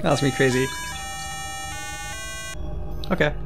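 A woman's voice: extremely low pitch, slow pace, music in the background. A woman in her thirties chatting casually as she reacts to a video game. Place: indoors on a couch, video-game sound behind.

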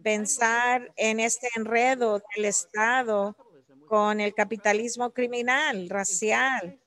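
Woman interpreting in halting phrases. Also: on the high side, fairly even intonation, fairly bright tone. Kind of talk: live spoken interpretation of an academic talk.

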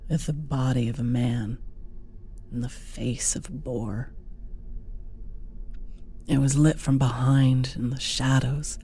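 A woman narrating a horror audiobook as a frightened young village wife held captive, breathy and hushed, often near a whisper. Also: a bass voice, heavily acted. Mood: terrified. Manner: slow, halting, hushed.

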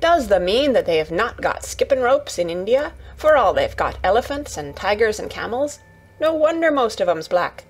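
A woman's voice: mid-range voice, very animated, moderate pace, husky. A woman voicing a cheerful young Yorkshire housemaid in a storybook, chatty and eager, with homely dialect and exclamations. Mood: astonished.

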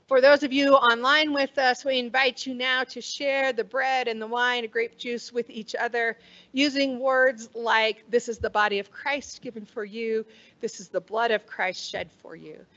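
A middle-aged woman pastor leads communion from a lectern mic in a church sanctuary, her voice sitting a little high. She speaks in slow, measured, solemn phrases. The livestream sound is dull and lo-fi. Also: bright, forceful tone. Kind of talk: leading communion liturgy. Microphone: stand mic at a lectern, far camera.

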